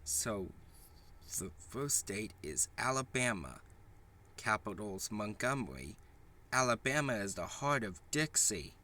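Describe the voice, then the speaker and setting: A man reading a children's picture book aloud in a halting, deliberate way, with small stumbles. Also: fairly low pitch, sing-song, sharp tone. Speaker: storybook reader.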